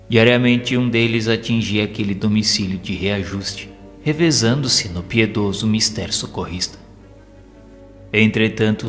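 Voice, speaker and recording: Low-voiced Brazilian man narrating with long reverb. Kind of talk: scripted literary narration for a spiritist audio novel. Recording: long reverb, prominent background music. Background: music.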